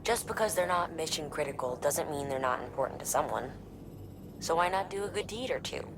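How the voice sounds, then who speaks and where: Low-voiced, friendly woman colleague. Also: expressive, fairly quick, noisy background. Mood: friendly, encouraging. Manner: informal, encouraging, explanatory. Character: friendly female operations colleague encouraging a courier. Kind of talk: scripted video game dialogue.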